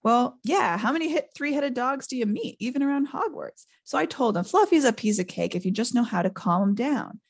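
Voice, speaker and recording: A man's voice: very high voice, animated, brisk, few pauses, voice pushed up, heavily acted. A woman voicing a big, simple-hearted male gamekeeper who rambles informally, boasts and blurts things out in a storybook reading. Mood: chatty, proud.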